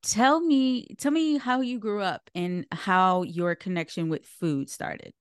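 A woman hosting a casual podcast interview, relaxed and quick to laugh along. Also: mid-range voice, clean tone, no room sound, studio quality. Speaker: podcast host.

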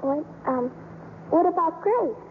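An innocent little orphan girl in a 1950s radio play. She speaks high and earnestly, with artless eagerness. The sound is a muffled old broadcast with faint hiss. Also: expressive, takes it slowly, full character voice. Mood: solemn.

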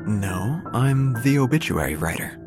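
A man playing a vain, droll small-town obituary writer, narrating an audio drama in a pompous deadpan over music. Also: medium pitch, unhurried. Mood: droll, grandiose.

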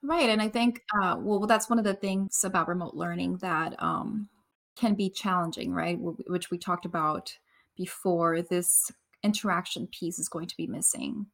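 A woman educator giving unscripted commentary in a discussion, somewhat halting as she thinks aloud. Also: fairly low pitch, gravelly, a bit bright.

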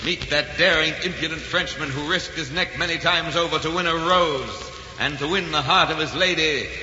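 An actor playing a dashing, melodramatic narrator who introduces a 1940s radio romance in a grand declamatory style. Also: male voice, on the high side, normal speed, pushed and bright. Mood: grand, stirring. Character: dashing, passionate romantic narrator, spirit of adventure. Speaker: announcer introducing the play. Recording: echoey, muffled 1940s radio recording.

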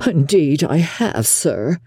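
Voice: medium pitch, sing-song, takes it slowly, mellow, slightly rough, no echo, clean studio sound, heavily acted. An audiobook narrator voicing a fussy, effusive middle-aged mother with a gushing, emphatic manner in a period novel. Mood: plaintive, emphatic.

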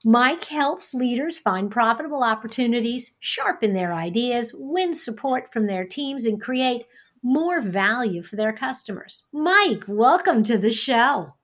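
A woman's voice: on the high side, expressive, measured pace, fairly bright tone. A middle-aged woman hosting a podcast interview, introducing and questioning her guest in an upbeat, chatty manner. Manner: enthusiastic, chatty. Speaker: podcast host.

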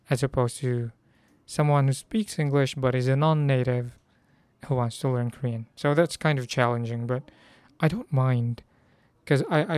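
A young man from the Philippines talks in a hoarse, chatty way, often stopping to restart his sentences. His voice is mid-pitched and smooth under the strain. The sound is close and dry with no room echo. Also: darkish tone. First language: Filipino language. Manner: chatty, self-correcting, reads phrases aloud. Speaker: language learner. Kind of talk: casual vlog about learning Korean.

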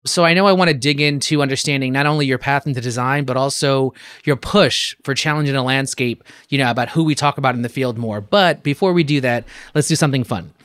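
A man hosting a design podcast, reading a scripted introduction and then asking a guest questions in a lively conversational way. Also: mid-range voice, fast talker. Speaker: podcast host. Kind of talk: podcast interview.

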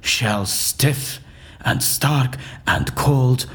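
An actor playing an eccentric, unflappable surgeon in an audio drama, with a formal, theatrical and dryly dismissive manner. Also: male voice, mid-range voice, drawn-out words, a bit bright. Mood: solemn, theatrical.

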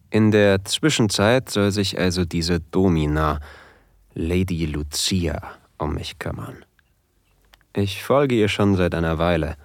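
A man playing an eager, nervous submissive husband, confiding his story in a hushed, deliberate first-person audio drama narration. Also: deep voice, creaky, no echo.

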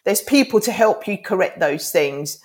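A woman's voice: lowish voice, expressive, moderate pace. A middle-aged woman whose first language is Greek, an author and life coach talking earnestly in a podcast interview, rambling with many restarts.

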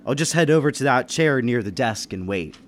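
An actor playing a level-headed businessman who narrates a murder mystery in old-time radio style, measured and reasoning. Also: mid-range voice, animated, close to an everyday voice. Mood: calm narrating. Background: music behind the voice.

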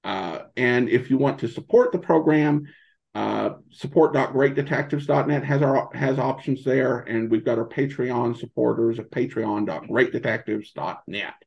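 Man, mid-pitched, fry-laden voice, hesitant podcast guest, conversational, dull limited-band recording. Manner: halting, hesitant phrasing with repeated false starts. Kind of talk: casual interview chat.

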